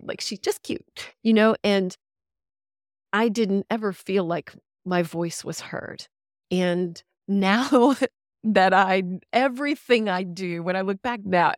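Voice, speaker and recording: A woman's voice: medium pitch, no room sound, clean studio sound. A woman in her fifties from Central Texas, a longtime radio voice, telling her life story in a reflective, chatty way as a podcast guest. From Central Texas, USA. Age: 50s. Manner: reflective, chatty, with easy laughs. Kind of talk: casual interview storytelling.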